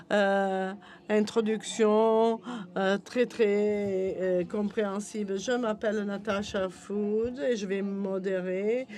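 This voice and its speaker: Slow, even-toned woman interpreting. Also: medium pitch. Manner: halting, word-by-word delivery with self-corrections. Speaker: simultaneous interpreter. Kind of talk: live interpreting of a conference.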